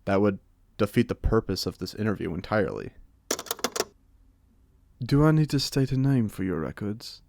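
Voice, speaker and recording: A man's voice: on the low side, animated, moderate pace, creaky, pauses often, noisy background. A man playing a playful, chatty old vampire with a British accent in an ASMR audio roleplay, speaking in a theatrical, genteel, jokey way. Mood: playful, hospitable. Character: playful, chatty 127-year-old male vampire being interviewed.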